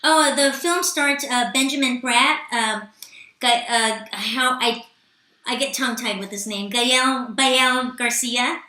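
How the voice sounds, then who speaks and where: Bright-voiced woman, hesitant, echoey room. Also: on the high side, takes it slowly. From Los Angeles. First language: Spanish. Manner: hesitant, many fillers and restarts. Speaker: guest actress and comedian. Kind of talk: casual interview chat.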